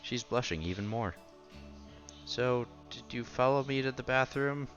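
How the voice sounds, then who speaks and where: A man reading a visual novel aloud as a streamer, with playful, casual asides and quiet game music behind him. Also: mid-range voice, unhurried. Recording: slightly dull, faint game music behind.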